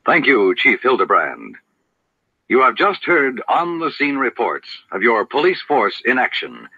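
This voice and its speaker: A man's voice: mid-range voice, wildly swooping pitch, measured pace, sharp tone, breathy edge, dry recording. A man working as a radio announcer, reading scripted credits in a 1950s broadcast style. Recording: tinny, narrow old radio recording.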